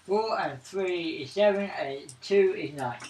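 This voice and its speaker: A man's voice: sits high, unhurried, darkish tone. A man playing a tabletop role-playing game, talking casually about his moves and dice with the group. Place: gaming table in an echoey room. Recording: roomy, distant mic, faint noise and clicks.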